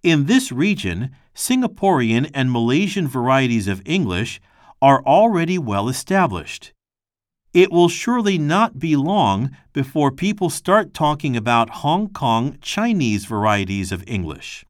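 A man's voice: mid-range voice, big pitch swings, unhurried, no echo, studio quality. Man reading a textbook passage aloud. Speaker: textbook audio reader.

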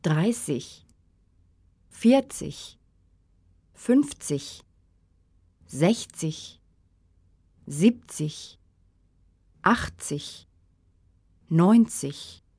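A woman reading numbers and textbook dialogue lines with careful, deliberate enunciation for a language course. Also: fairly low pitch, lively intonation, a little mellow, breathy edge, no room sound. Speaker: course audio speaker.

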